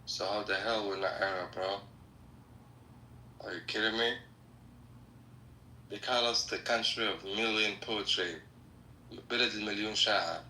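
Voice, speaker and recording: A man's voice: fairly low pitch, normal speed, long pauses. Blunt man, echoey room. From Morocco. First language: Arabic. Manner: blunt, animated, swears. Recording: echoey room with faint noise.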